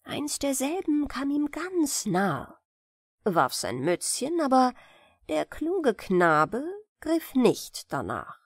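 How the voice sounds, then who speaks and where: A woman reads a bedtime fairy tale as an audiobook storyteller. Her voice sits a little high and moves with lively melody. She takes her time, telling it expressively and deliberately. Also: husky, no echo, studio quality.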